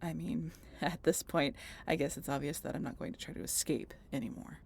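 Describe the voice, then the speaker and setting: A woman playing a guarded, sardonic century-old vampire in an intimate audio roleplay, halting and casually profane as she talks to the listener. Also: sits low, creaky, darkish tone, husky, full character voice. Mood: wry, brusque.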